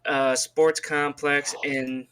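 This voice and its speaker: A man's voice: on the high side, level tone, slow, a bit bright, clear, resonant tone. A man co-hosting a wrestling podcast, giving casual, informal promotional patter and addressing listeners as folks. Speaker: podcast co-host. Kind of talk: casual sponsor read and banter on a wrestling podcast.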